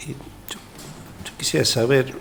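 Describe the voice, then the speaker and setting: A man with an Argentine accent, sitting on an interview panel as public defender and asking questions in a halting, rephrasing way. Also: mid-range voice, steady tone, creaky, a bit bright, slight background noise. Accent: Argentine Spanish. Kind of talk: formal questioning.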